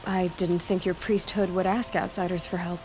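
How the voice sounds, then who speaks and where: An actress playing a young, plain-spoken heroine in a video game, direct and sceptical yet compassionate. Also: female voice, lowish voice, flat delivery, normal speed, background noise, lightly acted. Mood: questioning.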